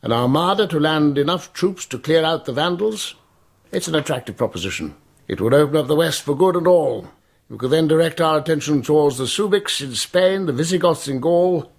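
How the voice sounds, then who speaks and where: An imperious emperor in a radio drama. His voice is husky and crackles with fry. He weighs each phrase with shrewd, wry authority. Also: fairly high pitch, lively intonation, a little fast. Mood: shrewd, weighing. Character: Byzantine emperor Leo, commanding, shrewd, suspicious older man.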